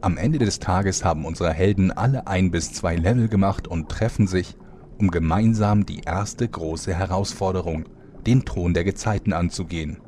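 A man's voice: low-pitched, normal speed, darkish tone, slight background noise, lightly acted. A man playing the storyteller, reading scripted narration for a comedic fantasy audio drama. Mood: solemn. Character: male storyteller of a fantasy soap parody.

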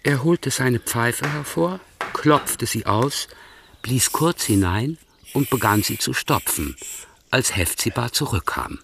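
A man narrating a radio drama in a measured, even storytelling manner. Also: medium pitch, gravelly, a little hiss or hum.

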